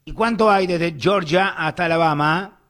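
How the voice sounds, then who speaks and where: A man's voice: sits high, normal speed, fairly bright tone. A man with an Argentine accent hosting a live radio show, chatting and putting questions to others. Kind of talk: live radio chat with interviews and sponsor reads.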